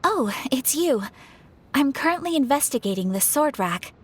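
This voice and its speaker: A woman's voice: high-pitched, sing-song, normal speed, a little hiss or hum. A woman playing a diligent, matter-of-fact investigator in a video game, precise and formal. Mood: composed, analytical.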